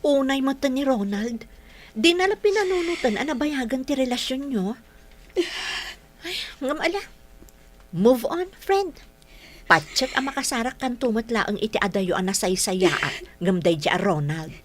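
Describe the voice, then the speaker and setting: A woman's voice: a little high, animated, measured pace. A woman playing a supportive, chatty friend in a radio drama, with an Ilocano Filipino accent. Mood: indignant, consoling. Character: supportive, chatty female friend, indignant on her behalf.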